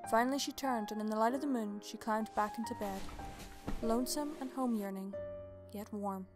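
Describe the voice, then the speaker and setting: A woman's voice: fairly high pitch, normal speed, over music. A woman reading a scripted story aloud in a dramatic bedtime-story style. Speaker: story narrator.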